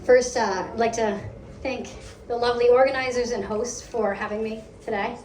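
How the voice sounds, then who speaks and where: An American woman leading a product team gives a lively, conversational meetup talk, asking the audience questions. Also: fairly high pitch, normal speed. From United States. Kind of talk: live conference talk. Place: event hall. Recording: echoey hall, distant mic, faint hum.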